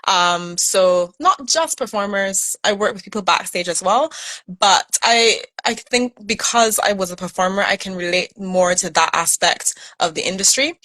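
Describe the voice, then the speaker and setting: A woman's voice: medium pitch, a bit bright. A woman from Barbados with a Barbadian accent, a guest coach on a video call, explaining ideas earnestly with casual likes and restarts. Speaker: guest, burnout recovery coach. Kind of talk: casual conversation.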